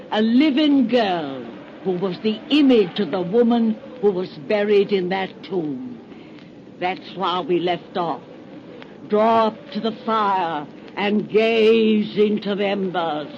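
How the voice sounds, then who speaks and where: A woman's voice: mid-range voice, expressive, takes it slowly. A cackling, cracked-voiced crone spins ghoulish yarns in homely country dialect. She is gleeful and cozy about it, in 1930s radio horror style, on a muffled recording thick with noise. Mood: eerie, relishing.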